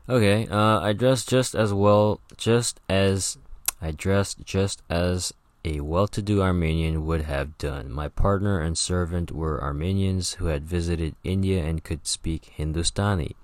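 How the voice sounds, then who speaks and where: A man's voice: low voice, a little mellow, no room sound. A man reading an old travel book aloud, stumbling over words and breaking off for casual, profane asides.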